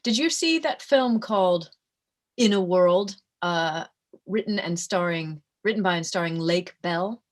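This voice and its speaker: A woman's voice: lowish voice, unhurried. Chatty woman. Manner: warm, laughs often, chatty. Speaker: guest voice actress. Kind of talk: casual chat.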